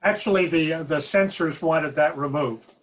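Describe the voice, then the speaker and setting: A man's voice: a little high, normal speed, poor audio. A man on a video call adding casual film-history commentary as a seminar panelist.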